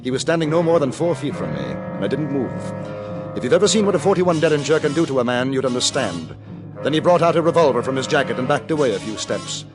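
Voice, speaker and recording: An actor playing a cool-headed frontier gentleman who narrates in the first person, understated and wry, in a 1950s radio drama. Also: medium pitch, sing-song, quick pace, close to an everyday voice. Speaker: narrator and lead character. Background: music.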